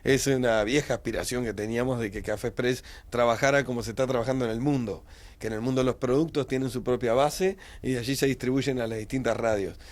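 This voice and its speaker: A man's voice: medium pitch, steady tone, fast talker. A man, a radio host answering interview questions in a small studio, fluent and matter-of-fact. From Uruguay. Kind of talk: interview answers, recounting career.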